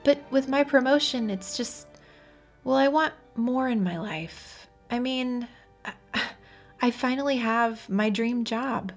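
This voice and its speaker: A woman voicing a guilty, hesitant young woman in a story, halting and pleading as she speaks to her fiancé. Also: fairly high pitch, soft background music, pitched above the performer's own voice. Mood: earnest, pleading. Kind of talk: dramatic audiobook character voice.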